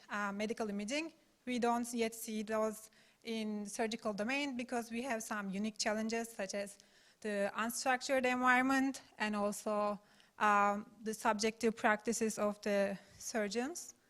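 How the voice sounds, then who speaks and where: A woman from Turkey, a postdoctoral researcher, giving a conference talk in a measured, careful way with frequent pauses. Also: fairly high pitch, a bit bright. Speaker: invited speaker, postdoctoral researcher. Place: meetup room.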